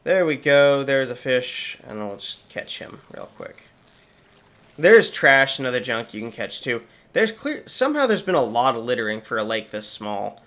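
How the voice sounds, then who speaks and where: Youngish guy, voice a bit worn, chatty wry gameplay commentary, mid pitch. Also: sing-song, normal speed, fairly bright tone. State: slightly sore, tired voice. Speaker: gaming channel host. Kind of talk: casual game commentary.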